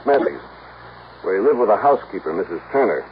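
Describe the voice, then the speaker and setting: A man's voice: fairly low pitch, expressive, fast talker, natural voice. A thin, tinny vintage radio recording with faint noise carries an actor playing an American insurance man in a 1950s crime serial. He is earnest and folksy. His talk is urgent and colloquial, like someone laying out a case. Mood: earnest, explaining. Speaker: supporting actor. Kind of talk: 1950s radio detective drama dialogue.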